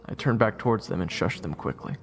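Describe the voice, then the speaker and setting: Man acting as cocky young rogue, gravelly and animated. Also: on the low side, a little fast, faint music behind. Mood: narrating, wry. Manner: flippant, boastful. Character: cocky young rogue swordsman, evasive and sarcastic. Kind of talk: first-person audio drama narration and dialogue.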